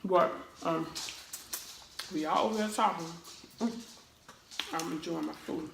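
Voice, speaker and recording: A woman hosting her channel, hoarse today, chatting casually between bites while she eats with her sister. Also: fairly low pitch, unhurried, a bit bright. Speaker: channel host. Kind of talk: casual mukbang chat while eating. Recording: echoey room with noise.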